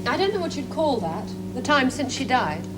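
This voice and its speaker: A woman's voice: high-pitched, sing-song, normal speed. An actress playing an intense, earnest woman scientist with an English accent, insistent and probing, in a 1970s television drama. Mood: earnest, explaining. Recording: noisy, humming old TV soundtrack.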